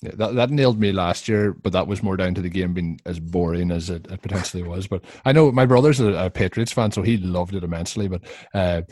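A man with a deep voice talks as a podcast host about sport. His delivery is conversational and rambles a little, and the sound is dry and close. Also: brisk, darkish tone, no room sound. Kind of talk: casual sports chat.

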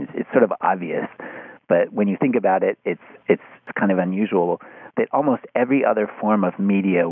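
A man speaking as an interview guest in a thoughtful, halting way, often restarting his sentences. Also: sits low, vocal fry, slightly rough, no room sound. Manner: hesitant, halting, with repeated false starts. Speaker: podcast guest, media critic. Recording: phone-like, thin band-limited line.

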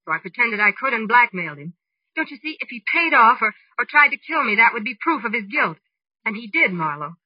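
A woman's voice: fairly high pitch, very animated, a little fast, breathy edge, dry recording. A woman playing a scheming, desperate divorcee in a 1940s radio drama. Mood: pleading, confessing. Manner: melodramatic, pleading. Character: scheming, desperate young divorcee, defiant blackmailer. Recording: dry, dull old radio recording.

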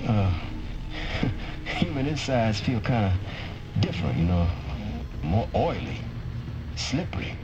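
War drama casting: jittery soldier, lively pitch swings, dull sound buried in heavy noise. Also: male voice, slow, pauses often. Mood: nervous, rattled. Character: army men in a wartime debrief, nervous or approving. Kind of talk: audio fiction drama acting. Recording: muffled, very noisy vehicle or radio ambience.

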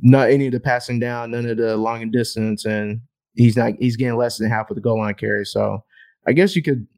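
A man's voice: medium pitch, fairly even intonation, a little fast, creaky, darkish tone. A man co-hosting a fantasy football podcast in casual chat, reeling off numbers and repeating words as he thinks. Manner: stat-heavy, repeats words while thinking.

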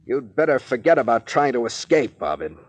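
An actor playing a tough, commanding sea captain with blunt slangy talk in a 1940s radio drama. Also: male voice, mid-range voice, very animated, fairly quick, breathy edge, no echo. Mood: hard, accusing. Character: tough, brusque male sea captain adventurer. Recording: dry vintage 1940s radio recording.

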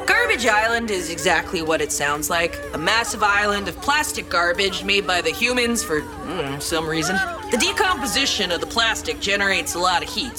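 A woman voicing an excitable, cocky robot worker in an audio comedy, with breezy, boastful, slangy delivery. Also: mid-range voice, lightly acted. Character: excitable, cocky robot worker of a human-removal firm, Hundo. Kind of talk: audio comedy acting, mock-documentary. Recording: music playing behind the voice. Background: music.

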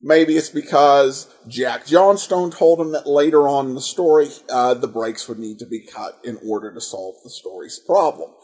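A man's voice: mid-range voice, normal speed, few pauses, darkish tone, slightly rough. A man hosting a podcast, giving conversational, dryly critical commentary with hesitant phrasing. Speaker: podcast host.

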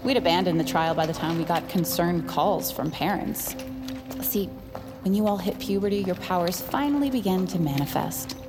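A low-pitched female villain played by an actress, a cold institute scientist who soothes and gloats in the same breath. She is condescending and theatrical, with dramatic music playing behind her in an audio drama. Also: normal speed, lightly acted. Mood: smug, gloating. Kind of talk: scripted audio drama acting.

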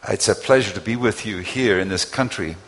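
A man preaching in English through an interpreter, speaking in short phrases with pauses left for the translation. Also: lowish voice, fairly bright tone. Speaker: guest preacher. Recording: long hall reverb.

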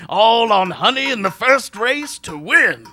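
An actor playing a folksy grave digger in an old-time radio play. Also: male voice, high voice, animated, slow, pushed and bright, soft background music, heavily acted. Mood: excited. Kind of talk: 1940s radio acting.

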